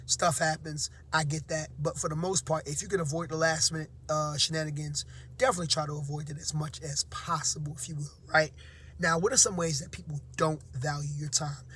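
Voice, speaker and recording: A man who teaches, giving casual motivational advice to his viewers, slightly hoarse and half-awake, with frequent fillers and tags like "right?". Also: fairly high pitch, bright, forceful tone, slight background noise. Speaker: teacher and vlog host. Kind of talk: casual motivational talk to camera.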